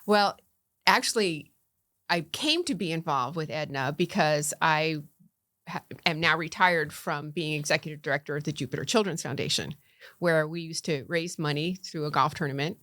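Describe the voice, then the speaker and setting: A woman's voice: sits low, moderate pace, fairly bright tone, no room sound, studio quality. A middle-aged woman from Connecticut, a county commissioner chatting easily as a podcast guest, laughing often. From Connecticut, USA. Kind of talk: casual interview chat.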